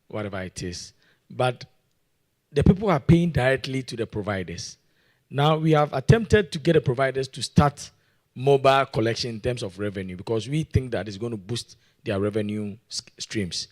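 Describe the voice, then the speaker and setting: A man from Ghana asking a question from the floor in a conference meeting room. He works through his point earnestly, circling back to words as he goes. His voice sits at an ordinary middle pitch. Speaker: audience questioner.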